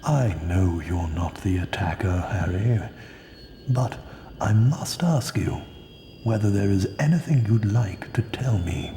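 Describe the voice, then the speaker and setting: Wise elderly headmaster, warm low voice, echoey. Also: male voice, slight background noise. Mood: gentle. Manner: calm, gentle, measured.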